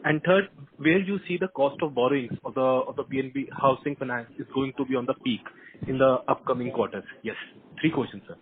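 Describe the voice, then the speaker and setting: A man with an Indian accent politely asking questions as an analyst on an earnings call, halting and repeating words. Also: mid-range voice. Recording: tinny phone line with faint noise.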